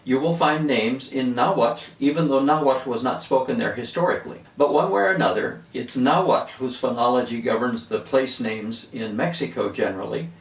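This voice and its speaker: A man in his seventies who grew up in Venezuela, unhurriedly reminiscing and explaining words in a casual storytelling way. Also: mid-range voice. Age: 70s. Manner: unhurried, reminiscing, chuckles at his own stories. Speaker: linguistics video host. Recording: roomy echo, mic at a distance.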